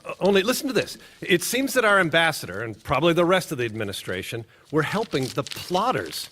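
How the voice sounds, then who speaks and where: An actor playing an eager male reporter in a radio drama, excitedly reading out documents. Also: on the high side, fairly quick, slight background noise. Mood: intent. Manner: excited, reads documents aloud.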